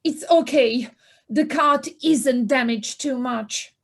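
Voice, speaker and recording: A woman acts out a small, anxious meadow creature in an audio play. The voice is high and slow, very polite, hesitating whenever flustered. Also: gravelly, exaggerated voice. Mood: reassuring. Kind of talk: amateur audio play acting.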